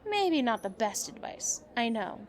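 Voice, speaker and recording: A woman's voice: a little high, big pitch swings, a little slow, a faint crowd, a little hiss or hum. A woman playing a sassy, supportive young vigilante partner, frank and teasing, in an audio drama.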